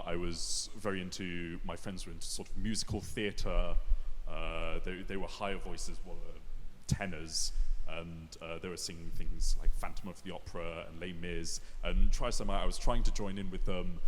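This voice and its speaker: A man with a very low speaking voice talks about singing, pausing on frequent "uh"s. He speaks into a handheld mic on a stage, with a reverberant hall and faint hiss behind. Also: fairly even intonation, a bit bright. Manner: hesitant, with frequent "uh". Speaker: opera singer. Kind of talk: casual explanation. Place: theatre stage. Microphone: handheld microphone.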